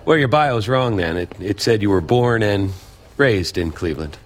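An actor playing a mild, inquisitive male dinner guest in an audio drama, speaking politely and with quiet probing. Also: fairly low pitch, lively intonation, moderate pace. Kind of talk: naturalistic audio drama acting.

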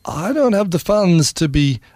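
A man's voice: sits high, very animated, measured pace, darkish tone, dry recording, clean studio sound. A middle-aged man with an Australian accent, telling his personal story as an interview guest in an earnest, emphatic way, repeating phrases for stress. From rural New South Wales, Australia. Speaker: interview guest, ministry CEO and former army serviceman. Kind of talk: personal testimony in a conversational interview.

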